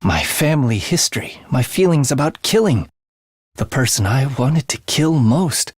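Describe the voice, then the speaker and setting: Wildly expressive, morbid young assassin. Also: male voice, medium pitch, moderate pace, slightly rough, dry recording, clean studio sound. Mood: bitter, morbid. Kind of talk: English video game voice acting.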